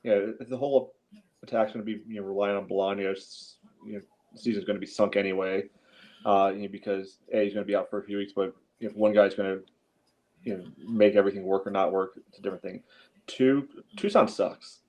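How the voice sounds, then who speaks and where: A man's voice: on the low side, steady tone, brisk, a little mellow. A man on a sports podcast bluntly and casually counting off his points, peppered with verbal tics. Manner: blunt, enumerates points, frequent "you know". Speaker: soccer podcast panelist. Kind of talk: casual post-game sports discussion.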